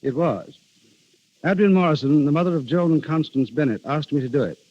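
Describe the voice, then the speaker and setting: A man speaking as a guest writer in a scripted 1930s radio interview, formal and measured. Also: fairly high pitch. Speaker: guest playwright. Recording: muffled 1930s radio broadcast.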